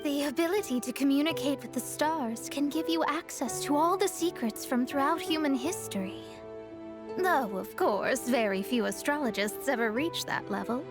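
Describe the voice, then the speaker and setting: A woman's voice: sits high, normal speed, natural voice. A woman playing a proud, articulate young female astrologer in a video game, self-assured and slightly haughty. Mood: awed, thoughtful. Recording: music playing behind.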